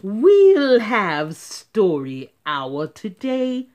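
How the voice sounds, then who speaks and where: A woman voicing a stern, unpleasant substitute teacher in a storybook, hissing and snapping slow commands. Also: medium pitch, huge pitch swings, a little mellow, highs cut off, heavily acted. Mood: strict, threatening.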